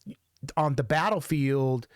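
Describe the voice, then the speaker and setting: A man hosting a music reaction show, talking off the cuff in a halting, rambling way and often repeating himself. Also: a little high, lively intonation, clean tone, dry recording, studio quality. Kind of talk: casual off-the-cuff reaction commentary.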